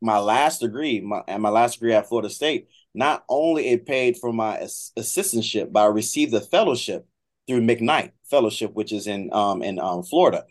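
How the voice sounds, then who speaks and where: American man, halting interview talk. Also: on the low side. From Miami, United States. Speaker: guest, trombone professor. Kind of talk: conversational interview.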